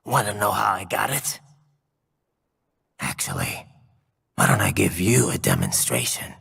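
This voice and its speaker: Vengeful game cyborg, heavy gravel, forceful growl, grim, slow-paced. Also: male voice, huge pitch swings, big-room sound, heavily acted. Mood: menacing. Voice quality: deep gravelly rasp, growled. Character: brooding cyborg mercenary, man, grim and vengeful. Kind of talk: dramatic video game voice acting.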